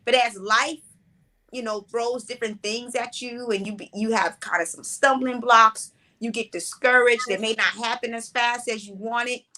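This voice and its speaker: A woman in her forties, a playwright and director, answering interview questions in a relaxed, reflective, conversational way. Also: a little high, normal speed. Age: 40s.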